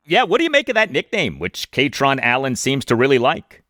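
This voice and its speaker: A man's voice: mid-range voice, sing-song, a little fast, fairly bright tone, no room sound, clean studio sound. A man hosting a sports podcast, introducing guests and asking questions. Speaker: podcast host and interviewer.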